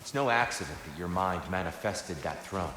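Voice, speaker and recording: An actor playing a wise, calm, compassionate mentor in a video game, with a measured, philosophical way of speaking. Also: male voice, sits low, slight background noise. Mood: calm, counseling. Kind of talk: video game cutscene acting.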